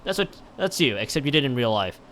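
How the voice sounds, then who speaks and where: A man's voice: mid-range voice, very animated, fast talker, fairly bright tone. A man on a live game stream, reading a visual novel aloud with stumbles and exasperated asides. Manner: stumbles and repeats words while reading.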